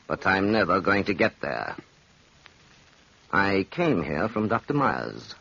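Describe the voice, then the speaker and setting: A man's voice: low voice, gravelly, fairly bright tone, breathy edge, heavily acted. An actor playing a cold, mocking, doomed man in theatrical 1940s radio style, on a dull old recording. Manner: theatrical, sardonic.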